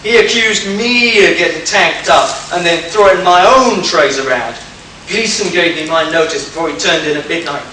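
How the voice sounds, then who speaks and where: A man's voice: on the high side, moderate pace. A man playing a big, hot-tempered, aggrieved tough guy in an amateur radio play, recorded from far off in an echoey room. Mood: bitter. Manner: forceful, tough-guy outbursts. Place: live convention stage in echoey room. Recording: very echoey hall, far-off mic, faint hiss.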